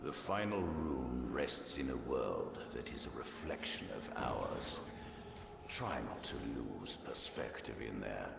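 Very deep, gravelly old curator. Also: male voice, sing-song, slow pace, full character voice. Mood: dry, instructive. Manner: formal, theatrical, disdainful. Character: haughty, sardonic old male library curator. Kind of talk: video game voice acting. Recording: long cavernous reverb, game music behind.

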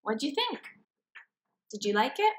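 A woman's voice: sits high, very animated, measured pace. A woman in her twenties narrating a comic book read-aloud as an after-school storyteller, recorded indoors at home. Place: small room at home, indoors.

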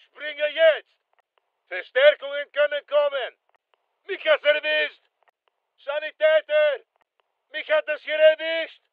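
Terse military game soldier, clipped orders, really dry close sound, thin phone-like band. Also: male voice, very high-pitched, unhurried, no echo. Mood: urgent, loud. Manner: clipped, commanding short callouts. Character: urgent, terse male special forces soldier. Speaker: video game voice actor. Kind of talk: military shooter callouts.

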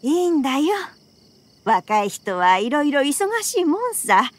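A woman's voice: high voice, very animated, measured pace, no echo. A woman voicing a kindly, fussing old grandmother in an anime-style game, with folksy, chiding yet caring speech. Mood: tender, caring. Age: older. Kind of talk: Japanese anime game voice acting.

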